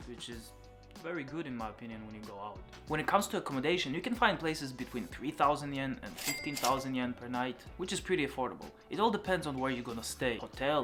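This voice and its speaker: A man hosting a vlog, giving casual advice straight to viewers. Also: mid-range voice. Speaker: host giving a closing summary. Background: background music.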